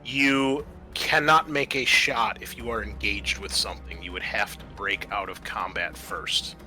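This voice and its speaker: Bright-voiced man running a tabletop game. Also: on the low side, steady tone, measured pace, soft background music. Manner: informal, swears casually. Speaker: game master. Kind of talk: running a tabletop roleplaying game, casual rules explanation.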